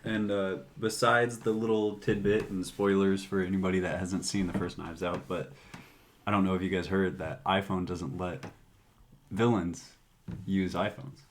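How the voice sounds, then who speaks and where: A man with a fairly low voice chats casually as a podcast co-host, blunt and informal. His mic carries faint noise and clicks. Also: flat delivery, moderate pace. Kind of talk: casual movie discussion chat.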